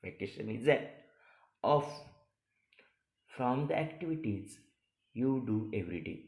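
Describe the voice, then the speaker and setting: A man's voice: mid-range voice, expressive, a little slow, darkish tone. Echoey male teacher reading aloud. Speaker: college teacher. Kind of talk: lesson, reading practice paragraphs aloud. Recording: echoey room sound.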